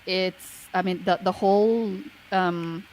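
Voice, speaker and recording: A woman's voice: on the low side, steady tone, slow, darkish tone, poor audio. A woman in a casual show discussion, explaining her point carefully and hedging often with "I mean". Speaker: podcast guest. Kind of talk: casual pop-culture chat.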